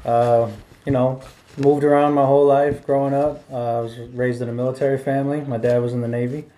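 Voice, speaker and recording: A man's voice: mid-range voice, little pitch movement, fairly quick, mellow, poor audio. A man from Bremerton, Washington, a rapper and producer guest, telling his story plainly and easygoing. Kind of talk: casual interview talk.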